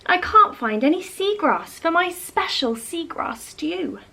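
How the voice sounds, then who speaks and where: Woman as a high, fretful sea turtle. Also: sing-song, measured pace, gravelly, bright, forceful tone, full character voice. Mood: distressed. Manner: pleading, fretful. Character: worried sea turtle searching for seagrass.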